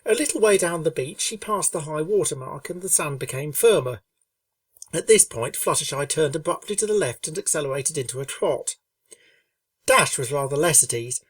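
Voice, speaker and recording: Low-voiced woman reading a story. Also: moderate pace, no echo, distant mic. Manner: calm, steady reading. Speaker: fanfiction reader. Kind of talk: storybook narration.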